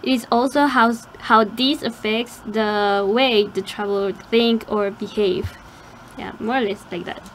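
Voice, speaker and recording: A woman's voice: on the high side, measured pace, slight background noise, low-quality recording. A young woman who co-hosts a radio talk show and answers briefly and matter-of-factly. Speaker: student co-host.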